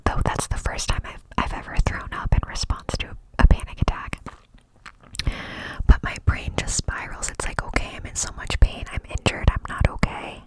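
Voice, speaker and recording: A young woman telling a personal story in a chatty whisper, with many fillers. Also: rough recording. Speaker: vlogger.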